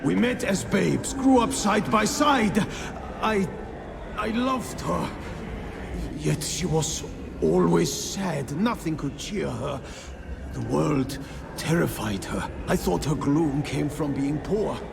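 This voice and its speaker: An actor playing the remorseful ghost of a peasant-born squire, mournful and halting, in a video game. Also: male voice, fairly high pitch, gravelly, music in the background, heavily acted. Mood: sorrowful. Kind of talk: dramatic video game acting.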